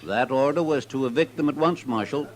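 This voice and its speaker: A man's voice: mid-range voice, a little fast, close to an everyday voice. An American man playing a stern, brusque Old West judge in a 1950s radio western. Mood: stern, incredulous.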